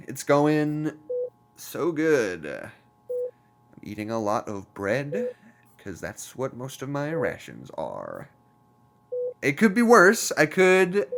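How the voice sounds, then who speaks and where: A man's voice: mid-range voice, wildly swooping pitch, unhurried, a bit bright, exaggerated voice. A man playing an earnest, lonely, chatty character in an audio drama, rambling informally with wry humor. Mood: wistful, wry.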